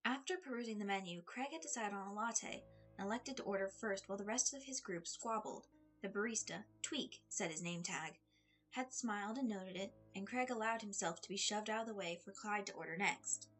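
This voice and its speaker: A woman reading a story aloud in an expressive audiobook style, with a slightly husky voice. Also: medium pitch, brisk. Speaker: fan fiction reader. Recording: music under the voice. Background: background music.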